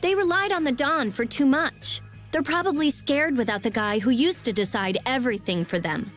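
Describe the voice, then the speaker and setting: An actress voicing a brainy, blunt teenage girl mage in a video game, with a brisk, matter-of-fact, snappy delivery. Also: high-pitched, faint music behind, natural voice. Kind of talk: animated game voice acting.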